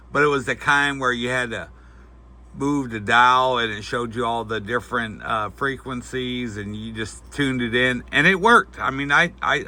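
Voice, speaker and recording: Male reviewer, off-the-cuff and folksy, with a very sharp, bright tone and halting, rambling delivery. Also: medium pitch. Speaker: product reviewer. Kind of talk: casual unscripted gadget review.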